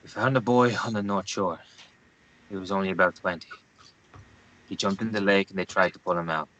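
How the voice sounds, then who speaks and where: A forceful, low-pitched male journalist as a man acts him in a drama read-through. He is driven and suspicious, with a sharp edge to the tone. The delivery is clipped and insistent. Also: steady tone, brisk, poor audio. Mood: urgent.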